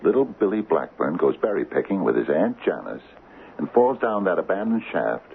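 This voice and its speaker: An anxious middle-aged small-town mayor in a radio drama. His voice is gravelly and swings widely as he hesitates and stammers in earnest. The sound is thin and phone-like, like an old broadcast. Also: deep voice, big pitch swings, normal speed, a little hiss or hum, heavily acted. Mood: urgent, fearful.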